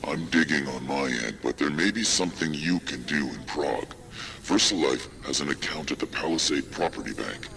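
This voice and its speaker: An actor playing a measured, secretive video game informant, formal and deliberate with a gravelly voice. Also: male voice, pushed and bright, full character voice. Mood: measured, cautious. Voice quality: very deep, gravelly. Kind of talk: cinematic video game acting.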